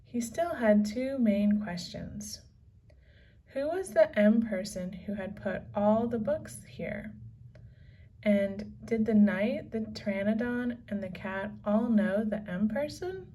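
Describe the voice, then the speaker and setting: A woman reading a children's story aloud as narrator, with measured, deliberate phrasing. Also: medium pitch, lively intonation, a little mellow, slight background noise. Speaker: storybook reader. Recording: slightly distant from the microphone.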